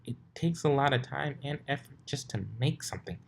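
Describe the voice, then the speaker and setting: A man's voice: medium pitch, expressive, brisk, vocal fry, a little hiss or hum, pitched above the performer's own voice. A man voicing a helpful, bookish female unicorn scholar with an earnest, hesitant manner in a story reading. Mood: thoughtful.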